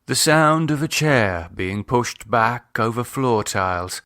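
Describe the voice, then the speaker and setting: A British man narrates an audiobook in a scripted storytelling style. His mid-pitched voice moves with lively intonation, recorded very dry and free of room sound. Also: takes it slowly, no room sound. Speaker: author and narrator.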